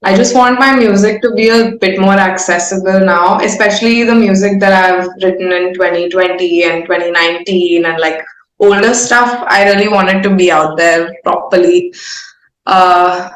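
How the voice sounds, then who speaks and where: A woman's voice: lowish voice, steady tone, moderate pace. A young woman with an Indian accent chatting candidly and informally in an interview, with a playful way of talking. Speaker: singer-songwriter being interviewed. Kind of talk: casual interview conversation. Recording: roomy, echoing sound.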